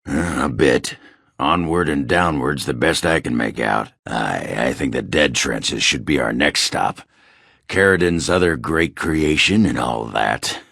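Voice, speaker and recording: Casting: gruff dwarf fighter, fantasy game. Bottomless pitch, heavy gravel, blunt and crude, grumbling delivery. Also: male voice, fairly bright tone, dry recording, studio quality, heavily acted. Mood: grim, determined. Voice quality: very deep, gravelly, rough. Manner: blunt, salty, grumbling speech. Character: gruff, crude dwarf warrior searching for his lost love. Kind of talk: video game character dialogue.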